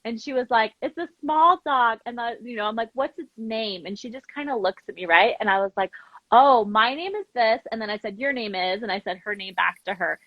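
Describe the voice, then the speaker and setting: Chatty woman with a slightly high voice. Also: fairly quick, no echo, muffled recording. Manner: chatty, informal, acts out dialogue when telling stories. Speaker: interview guest, language program founder. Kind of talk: casual live interview chat, animated storytelling.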